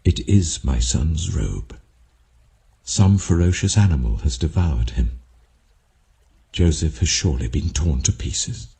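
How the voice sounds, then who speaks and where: A man's voice: extremely low pitch, lively intonation, unhurried, creaky, long pauses, dark tone, heavily acted. A man voicing an old patriarch father with weighty feeling in a dramatic Bible story reading. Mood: grieving. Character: old patriarch father, stern, doting, later grieving.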